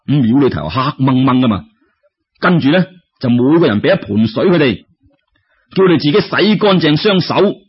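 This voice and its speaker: A man's voice: medium pitch, very animated, normal speed, husky, dull audio. A man with a Cantonese accent narrating a traditional story in a colloquial way, speaking straight to his listeners. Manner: colloquial, addresses listeners directly.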